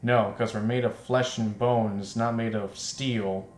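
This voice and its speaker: A man reading a visual novel aloud as a let's player, breaking in with loud, repetitive joking reactions. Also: fairly low pitch, steady tone, slow pace. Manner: exclaims loudly and repeats himself in reaction. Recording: roomy echo, faint background noise.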